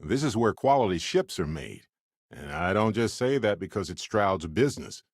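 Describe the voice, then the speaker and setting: An actor playing a chatty, good-natured space explorer in a video game, speaking in a playful, conversational way with wry expressiveness. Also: male voice, low voice, big pitch swings, moderate pace, dry recording, studio quality, exaggerated voice. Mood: upbeat, playful. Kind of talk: video game companion voice lines.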